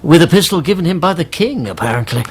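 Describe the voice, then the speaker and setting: A male actor playing an obsequious, scheming middle-aged baron and banker in a radio drama, with hesitant, stammering, effusive flattery. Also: fairly high pitch, quick pace, slight background noise, natural voice. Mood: ingratiating.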